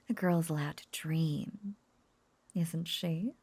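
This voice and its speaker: A woman playing an obsessive, lovesick young witch who coos over a captive beloved, clingy and breathy with frequent whispers, in an intimate roleplay audio drama. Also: fairly low pitch, very slow, a little mellow, no echo, exaggerated voice. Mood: wistful, possessive. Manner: cooing, clingy, breathy, often dropping into whispers.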